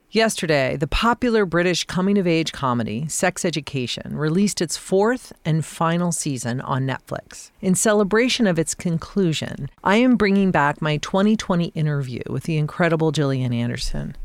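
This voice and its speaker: A woman's voice: sits low, no echo. A woman hosting a podcast, reading an upbeat intro and chatting with her guest. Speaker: podcast host. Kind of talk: interview and scripted intro.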